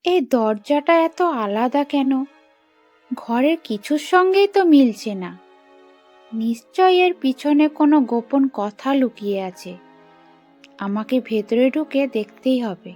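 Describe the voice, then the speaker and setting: High-pitched, earnest young mother played by a woman. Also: animated, measured pace, darkish tone, soft background music. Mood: suspicious, determined. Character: determined, anguished young mother trapped by a villain. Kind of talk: animated story dialogue.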